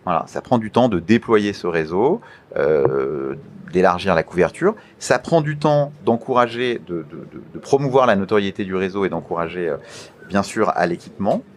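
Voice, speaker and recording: A man with a fairly low voice answers interview questions in a formal register. He speaks deliberately, often halting mid-sentence, then stresses his key phrases by repeating them. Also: expressive, a little hiss or hum. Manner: deliberate, halting with many hesitations, emphatic repetition of key phrases. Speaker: interview guest, media regulator official.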